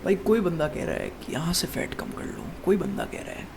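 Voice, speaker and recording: A man's voice: high-pitched, huge pitch swings, brisk, vocal fry, a little mellow, a little hiss or hum. A man of twenty-one giving a casual, rambling monologue as a fitness vlogger, calling the viewer "bro" over and over and restarting his sentences. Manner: rambling, addresses viewer as "bhai" constantly, many restarts.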